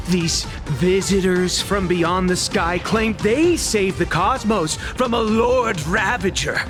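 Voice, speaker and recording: An actor playing a bitter, defiant young male squad leader in a video game, with theatrical, emphatic delivery. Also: sits high. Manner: slow, emphatic, declamatory delivery. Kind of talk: dramatic video game voice acting. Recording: dramatic game score behind the voice.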